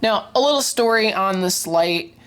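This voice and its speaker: A woman's voice: medium pitch, expressive, moderate pace, fairly bright tone, smooth. Congested young woman rambling about a collection. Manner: enthusiastic, rambling with frequent ums and restarts. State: congested, stuffy from a cold. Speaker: streetlight collector and vlogger. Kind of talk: casual show-and-tell vlog.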